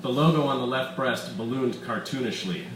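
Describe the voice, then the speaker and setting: A man reading his own story aloud with deliberate, dramatic emphasis at a live literary reading in an echoey bar room. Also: medium pitch. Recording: echoey room, distant mic, faint background noise.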